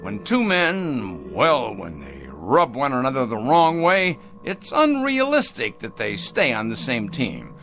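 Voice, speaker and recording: Pompous, wildly animated factory boss. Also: male voice, medium pitch, a little slow, hardly any pauses, faint music behind, full character voice. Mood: condescending. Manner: pompous, theatrical, condescending. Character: cold, pompous male factory boss obsessed with automation. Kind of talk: radio drama acting.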